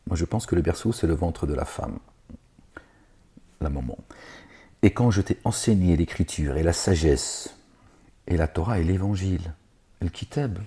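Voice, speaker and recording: Brief: man, low dark voice, reads scripture and digresses, sarcastic, hesitant. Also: moderate pace, long pauses, husky. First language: not Arabic. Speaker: religious lecturer reading scripture. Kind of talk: scripture reading with spoken commentary.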